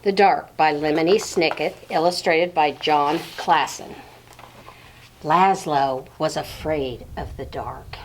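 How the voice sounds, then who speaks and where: A woman reading a storybook aloud to young children in short phrases with pauses, speaking directly to her listeners. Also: low voice, slight background noise.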